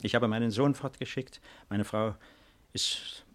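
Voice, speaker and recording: Actor as a low-voiced, proud, weary baron. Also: male voice, fairly even intonation, close to an everyday voice. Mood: reflective. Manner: measured, formal, hesitant. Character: proud, weary baron awaiting arrest, protective, resigned. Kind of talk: 1950s German radio play acting.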